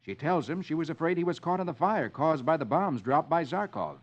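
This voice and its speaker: An actor playing a jolly comics-reading friend to children, in a theatrical 1950s radio storytelling style. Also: male voice, fairly high pitch, fairly quick. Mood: dramatic storytelling. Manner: jolly, animated. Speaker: radio host and comics reader. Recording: lo-fi old radio, loud music behind.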